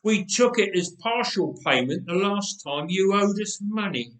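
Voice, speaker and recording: A man's voice: high voice, lively intonation, measured pace, fairly bright tone. A man voicing a menacing knife-wielding debt collector in a story, growling and mocking. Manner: mocking, taunting. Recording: roomy, echoing space.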